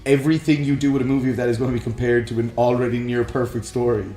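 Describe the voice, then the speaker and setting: A man's voice: medium pitch, level tone, rapid-fire, warm tone. A man co-hosting a casual film chat, slipping into imagined quotes as he makes his points. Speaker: co-host. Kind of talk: casual banter about films. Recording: roomy echo, faint music. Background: faint music.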